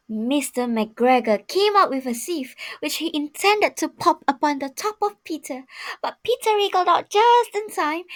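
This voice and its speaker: A high-voiced woman reads a storybook aloud for children. Her delivery is expressive and dramatic, with emphasis placed for young listeners.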